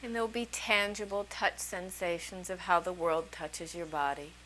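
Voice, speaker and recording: A woman leading a guided meditation as a teacher, speaking soothingly in short, unhurried phrases with long pauses between them. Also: fairly low pitch, fairly even intonation, a bit bright, a little hiss or hum, rough recording. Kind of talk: guided meditation, slow calming instruction.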